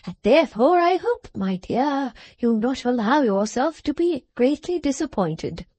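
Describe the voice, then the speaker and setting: Woman reading as a submissive timid father, hesitant, mellow, dry. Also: high-pitched, big pitch swings, measured pace, dry recording, pitched above the performer's own voice, full character voice. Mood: meek. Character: meek, timid middle-aged father, submissive.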